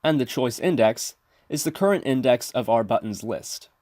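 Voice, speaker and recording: A man's voice: medium pitch, expressive, moderate pace, darkish tone, no echo, clean studio sound. A man giving scripted instructional narration as a tutorial presenter, explaining methodically step by step. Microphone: close mic, screen-recording voice-over.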